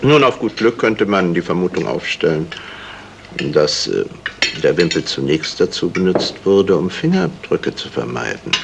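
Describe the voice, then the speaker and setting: An actor playing a modest, evasive, polite amateur sleuth in a 1970s German radio crime drama, courteous and deliberate. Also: male voice, low-pitched, very animated, gravelly, full character voice. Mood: musing. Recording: noisy old radio play recording.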